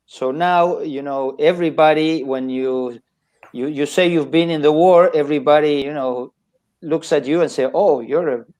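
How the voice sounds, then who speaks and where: An older man from Argentina, talking as a guest author on a video call, halting and restarting often with small chuckles. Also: on the high side, darkish tone. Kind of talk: casual chat on a video call.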